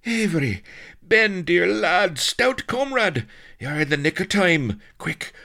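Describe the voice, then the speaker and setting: A blustering rogue of a colonel, pleads and bawls in old-fashioned speech for a swashbuckling storybook. The voice is strained high, forceful and very animated. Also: male voice, slow pace, creaky, heavily acted. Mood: frantic relief. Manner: bawling, exclamatory, archaic speech. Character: desperate, blustering roguish colonel bound and pleading.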